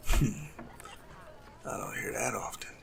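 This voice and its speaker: A man playing a suave, cunning assassin in love, speaking softly and formally in a romantic audio roleplay with a gravelly creak. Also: takes it slowly, in a whisper, close to an everyday voice. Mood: enchanted, earnest. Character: lovestruck male assassin, suave, cunning, tenderly courting a noble. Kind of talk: romantic audio roleplay acting addressed to the listener.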